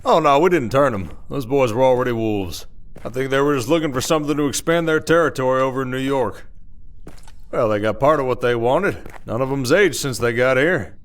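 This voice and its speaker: A man's voice: mid-range voice, a little fast, lightly acted. Man playing a wry drawled werewolf sheriff. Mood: wry, explaining. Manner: folksy, dry-humored, rambling explanations. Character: weary, wry, protective male werewolf sheriff of an Old West town. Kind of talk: ASMR audio roleplay acting.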